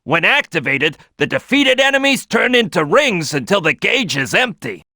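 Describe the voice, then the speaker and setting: A man's voice: sits high, very animated, moderate pace, sharp tone, no echo, studio quality, exaggerated voice. A man voicing a big brash cartoon crocodile team leader in a video game, giving tutorial tips with emphatic, punchy delivery. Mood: instructive, emphatic. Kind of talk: animated game tutorial voice lines.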